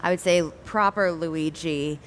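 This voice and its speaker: A woman's voice: fairly low pitch, fairly even intonation, a little slow. A woman guesting on a live podcast on stage, chatting casually in a dryly playful manner. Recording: live stage mic with faint noise.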